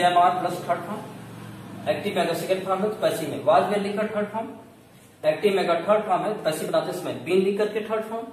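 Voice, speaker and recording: A man teaching a grammar lesson step by step, asking questions, answering them himself and repeating key points. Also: sits high. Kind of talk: classroom lesson, explaining step by step. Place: echoey room. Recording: very echoey room, distant mic, faint noise.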